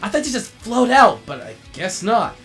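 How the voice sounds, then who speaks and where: Man acting as insecure cartoon robot jet, dramatic, lively swings in pitch, music underneath. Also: normal speed, vocal fry, exaggerated voice. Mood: theatrical, boastful. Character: excitable, insecure male robot jet, an aspiring filmmaker. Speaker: voice actor in a comic dub. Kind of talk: animated comic dub acting.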